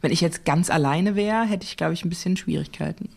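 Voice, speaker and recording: A woman's voice: on the low side, fairly quick, vocal fry, darkish tone. A woman in her thirties co-hosting a podcast, bubbly and chatty with casual slang. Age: 30s. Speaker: podcast co-host. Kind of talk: casual chat.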